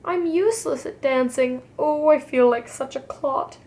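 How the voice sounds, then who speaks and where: Lady doing a shy clumsy boy giraffe for a kids story, high voice, slow drawn out words. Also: a little mellow. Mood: dejected. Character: shy, clumsy young male giraffe, sad then joyful.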